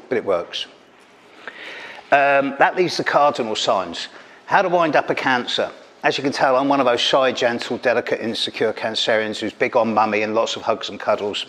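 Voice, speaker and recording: A man's voice: mid-range voice, fairly bright tone. A middle-aged man from England performing a caustic, teasing stand-up routine on a stage, speaking into a handheld mic. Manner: caustic, teasing, punchy delivery. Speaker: stand-up astrologer. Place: stage of an event hall.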